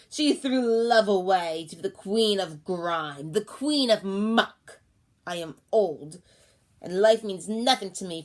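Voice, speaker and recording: A woman voicing a scornful ancient crone. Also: mid-range voice. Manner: loud, scornful, drawn-out accusing. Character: fierce ancient withered woman accusing a queen. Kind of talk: audiobook character voice.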